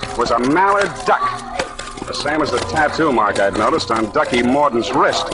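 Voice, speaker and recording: A man's voice: mid-range voice, expressive, moderate pace, over loud music, natural voice. A man acting a hard-boiled American private eye. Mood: wry narration. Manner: wry, clipped tough-guy talk. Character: hard-boiled, wry, impatient male private detective. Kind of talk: 1940s radio detective acting and narration. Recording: 1940s radio drama recording.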